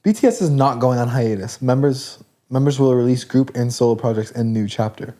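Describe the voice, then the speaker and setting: A man's voice: medium pitch, a little fast, dark tone. A young man talks easily on a podcast, his voice sitting in a middle register. He rambles in a loose, laid-back way, leaning on filler words as he chats. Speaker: podcast co-host. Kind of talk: casual chat.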